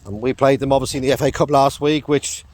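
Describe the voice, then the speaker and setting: A middle-aged man with a croaky throat, chatting casually on a walk with his dog in a rambling vlog. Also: mid-range voice, fairly even intonation, quick pace, darkish tone. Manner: rambling, chatty, lots of fillers and laughs. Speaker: vlogger.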